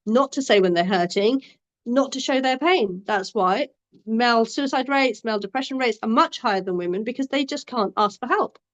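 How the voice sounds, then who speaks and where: Earnest high-voiced woman, lo-fi sound. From Bangladesh. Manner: earnest, emphatic, with restarts. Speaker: domestic abuse support worker. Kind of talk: passionate discussion.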